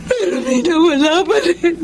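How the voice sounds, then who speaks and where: A woman's voice: very high-pitched, expressive, normal speed, background noise. An actress in a Filipino radio drama playing a poor, devoted, anxious mother with an emotional, pleading delivery. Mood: anguished.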